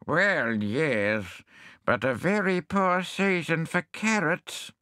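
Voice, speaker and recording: A man's voice: a little high, pushed and bright, no room sound, clean studio sound, pitched above the performer's own voice. A man doing a deaf old hedgehog gardener. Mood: befuddled. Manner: vague, drawn-out.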